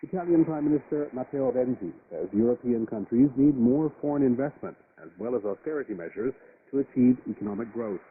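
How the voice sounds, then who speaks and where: A man's voice: mid-range voice, expressive, a little fast, hardly any pauses. A man working as a news reader, delivering a scripted international news bulletin on shortwave radio. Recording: crackly, phone-like shortwave radio, loud static. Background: loud shortwave static and noise.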